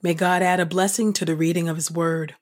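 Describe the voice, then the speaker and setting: A woman's voice: fairly low pitch, level tone, a little fast. A woman hosting a devotional, speaking in a reverent, earnest manner as she reads scripture and prays. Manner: reverent, earnest, repeatedly addressing God. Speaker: host reading scripture and leading prayer. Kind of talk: devotional scripture reading and spoken prayer.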